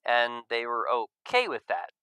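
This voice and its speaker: A man reading a visual novel aloud as an expressive first-person narrator. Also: medium pitch, unhurried, clean tone, dry recording, clean studio sound.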